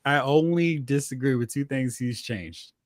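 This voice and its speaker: A man co-hosting a casual pop culture chat, talking in an animated, opinionated way. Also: medium pitch, measured pace, no echo, clean studio sound. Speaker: podcast co-host.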